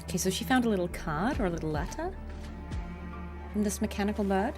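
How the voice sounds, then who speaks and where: A woman's voice: medium pitch, very animated, a little fast. A woman live-streaming a video game with casual commentary, chatty and thinking aloud as she plays. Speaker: streamer, voice actress. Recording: game music behind the voice. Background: video game music.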